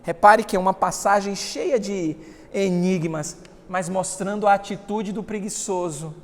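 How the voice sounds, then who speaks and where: A man preaches a sermon in a high, emphatic voice. He swells louder on the passages he reads. A long reverb hangs behind him. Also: measured pace. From Salvador, Bahia, Brazil. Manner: emphatic preaching, swelling loud on scripture readings. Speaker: pastor.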